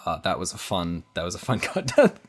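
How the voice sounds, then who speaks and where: A man's voice: low voice, very animated, a little fast. A man chatting casually as a podcast guest, rambling and correcting himself as he goes. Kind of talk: casual chat.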